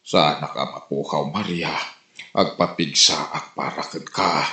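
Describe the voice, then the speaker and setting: A man's voice: extremely low pitch, fairly even intonation, slow pace, gravelly, bright, forceful tone, full character voice. A man acting an ailing, sentimental old sugar-daddy lover with an Ilocano Filipino accent in a comedy drama. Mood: tender, pleading. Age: older. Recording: roomy, echoing room sound.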